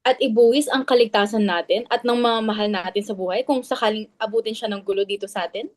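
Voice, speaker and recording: A woman's voice: medium pitch, fairly even intonation, quick pace, dry recording, clean studio sound, natural voice. A woman with a Filipino accent playing an anxious, defensive club member in a dramatic reading. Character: anxious, defensive clubwoman guarding the club's image.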